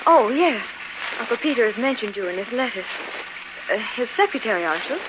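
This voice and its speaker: Very noisy muffled old radio, woman acting high-pitched young niece, anxious, polite, 1940s mystery drama. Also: expressive, fairly quick, natural voice. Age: young adult.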